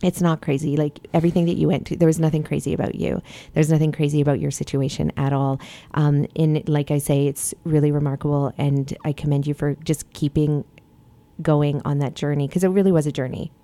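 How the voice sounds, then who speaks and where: A woman podcast host with a low, dark voice that stays fairly flat. She talks earnestly and with passion, rambling through fillers. The sound is dry and close. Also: a little fast, no echo. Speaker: podcast host and health coach. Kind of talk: casual podcast conversation.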